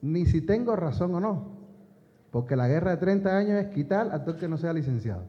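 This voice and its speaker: A man's voice: on the high side, lively intonation, fairly quick. A man from Cuba, president of a professional college, giving a conversational conference talk with frequent hesitations and wry asides. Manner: conversational, many filler "eh"s and restarts, wry asides. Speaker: president of a state optometry college, forum speaker. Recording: phone-like band, long hall reverb.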